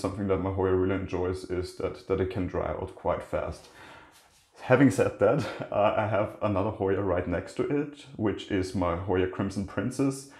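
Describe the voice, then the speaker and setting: Male host, very low voice, loose chatty delivery, echoey kitchen. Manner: relaxed, rambling with frequent restarts and fillers. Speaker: plant channel host. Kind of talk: casual plant tour walkthrough. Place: indoor kitchen by a windowsill.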